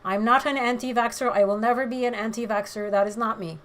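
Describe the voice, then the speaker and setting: A woman with a hoarse voice, chatting frankly with viewers during a livestream and giving her opinions with many pauses. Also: fairly high pitch, fairly even intonation, fairly bright tone. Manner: frank, opinionated, hesitant fillers. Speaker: livestream host. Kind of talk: casual chat answering viewer comments.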